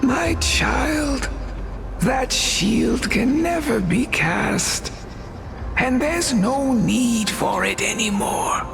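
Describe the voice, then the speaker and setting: An actor voicing a gentle, weary master craftsman in a video game, with a creaky, consoling voice. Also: very high-pitched, animated, drawn-out words, music in the background, exaggerated voice.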